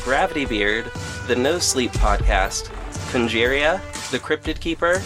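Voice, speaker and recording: A man's voice: mid-range voice, expressive, unhurried. A man who writes, directs and produces the show, reading its closing credits in a plain, friendly way. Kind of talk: credits read for a podcast. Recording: clear music bed.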